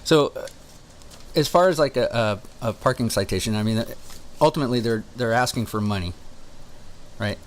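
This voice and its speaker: A man calling on the phone to ask questions, halting and skeptical as he puts his points together. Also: mid-range voice. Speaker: caller. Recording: phone call recording with faint noise.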